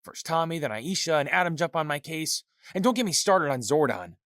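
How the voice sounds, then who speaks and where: A hot-headed young vigilante, put on by a narrator, defiant and swearing, set a good step above the narrator's usual pitch. The sound is dry and close. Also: male voice, very animated, fairly quick, fairly bright tone, no room sound. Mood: angry.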